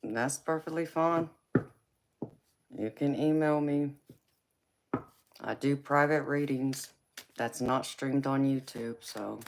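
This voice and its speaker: Slow-talking Southern-accented woman. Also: rumbling low, creaky, slight background noise, poor audio. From California. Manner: laughs often, calls viewers baby. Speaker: psychic tarot reader, livestream host. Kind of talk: casual live chat answering viewer comments.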